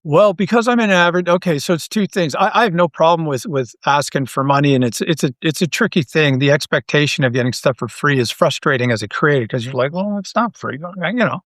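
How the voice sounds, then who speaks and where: A man's voice: a little high, fairly quick, few pauses, a bit bright, no room sound, studio quality. A man speaking casually as a podcast guest and creator, self-deprecating and often repeating his words. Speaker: interview guest, audio drama creator.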